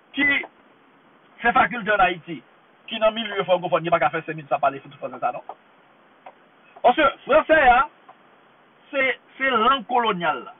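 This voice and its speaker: A man's voice: sits high, slight background noise. A man from Haiti speaking with a Haitian accent in an impassioned monologue, repeating himself for emphasis. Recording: tinny phone-like line.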